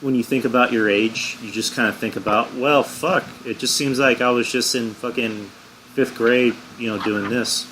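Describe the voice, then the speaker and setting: A man's voice: mid-range voice, steady tone, normal speed, fairly bright tone, a little hiss or hum, low-quality recording. A man in his late twenties in casual podcast chat, hesitant and full of fillers. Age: 20s. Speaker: podcast co-host.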